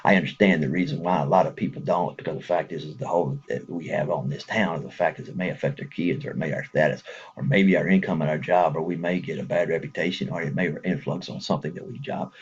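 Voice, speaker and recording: A man's voice: extremely low pitch, steady tone, quick pace, breathy edge, highs cut off. A man with a Texas accent speaking casually to viewers in a folksy, rambling way, chuckling between thoughts.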